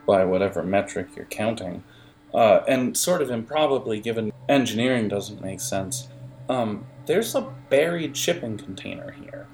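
A man improvising the narration of a tabletop adventure as game master, in a halting, conversational way. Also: lowish voice, expressive, darkish tone.

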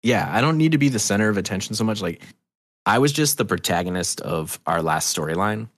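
A man's voice: fairly low pitch, animated, a little fast, dry recording, studio quality. A middle-aged man talking casually and candidly as a podcast guest, earnest and rambling, with restarts and loose swearing. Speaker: podcast guest, audio drama creator.

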